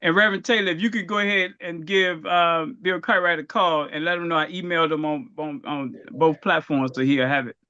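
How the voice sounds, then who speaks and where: A man hosting a casual group call, easygoing and quick to laugh. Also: on the high side, steady tone, sharp tone, clean tone, no room sound, highs cut off. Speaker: host.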